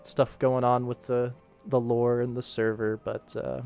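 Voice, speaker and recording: Male streamer, mid-pitched voice, informal work commentary, thinking aloud, music in background. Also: flat delivery, a little slow, gravelly, darkish tone. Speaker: animation production streamer. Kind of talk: casual livestream work commentary.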